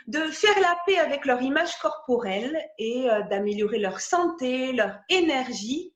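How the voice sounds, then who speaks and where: A woman's voice: sits high, fairly bright tone, roomy sound. A woman hosting a podcast video interview, chatty and hesitant, with many fillers and restarts.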